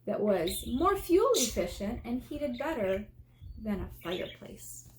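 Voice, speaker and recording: An American woman with a fairly high voice reads a children's book aloud in the manner of an elementary teacher. She pauses to explain things simply to young listeners. There is some faint noise behind her. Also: big pitch swings.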